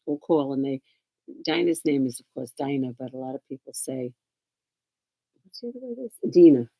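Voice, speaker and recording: A woman co-hosting a casual knitting podcast, talkative and teasing, laughing a lot, with a husky voice. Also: very deep voice, very animated, moderate pace, dark tone, rough recording. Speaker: podcast co-host. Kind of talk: casual chat.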